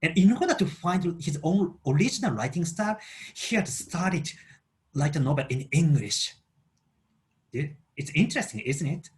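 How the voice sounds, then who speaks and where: A Japanese man speaking English as a second language with a Japanese accent, explaining a book in a halting way as he searches for words. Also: on the high side, animated. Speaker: book channel host.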